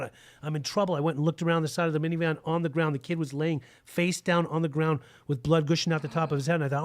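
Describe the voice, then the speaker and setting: A man who was born in Germany and raised in Utah, telling his recovery story on a video call with an earnest, halting delivery. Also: a little high. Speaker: guest sharing recovery story. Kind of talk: personal testimony.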